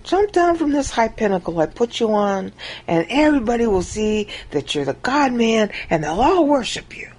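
A woman giving an informal Bible teaching monologue over a screen recording, acting out quoted lines with big jumps in pitch. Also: sing-song, normal speed, darkish tone, slightly rough.

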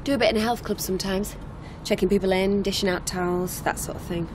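A woman's voice: mid-range voice, fairly even intonation, fast, lightly acted. A woman acting a wary, plain-spoken young hitch-hiker in a naturalistic radio drama, talking over car noise. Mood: matter-of-fact. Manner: guarded, matter-of-fact. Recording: clear vehicle noise around the voice. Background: car engine and road ambience.

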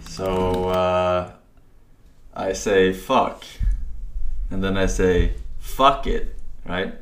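Slow-talking man with a Swedish accent. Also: low voice, little pitch movement, roomy sound, a little hiss or hum. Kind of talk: casual stream chat.

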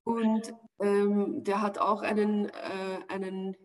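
A woman's voice: medium pitch, little pitch movement, slow. Austrian woman chatting thoughtfully on a video call. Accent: Austrian German. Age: 40s. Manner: thoughtful, searching for words with many fillers. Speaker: actress, interview guest. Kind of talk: casual interview answers. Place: home room, indoors. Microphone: video call webcam.

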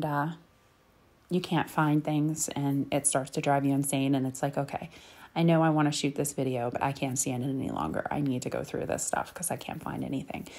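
Woman, thirties, low pitched, rambling, chatty, lots of ums, casual vlog voice-over. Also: darkish tone. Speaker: cleaning vlogger. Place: home bedroom.

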